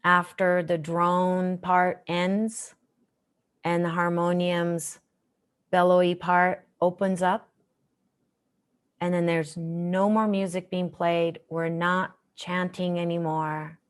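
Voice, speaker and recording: A woman teaching a guided meditation in a gentle, measured, instructional manner. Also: on the low side, clear, resonant tone. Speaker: meditation teacher, session host.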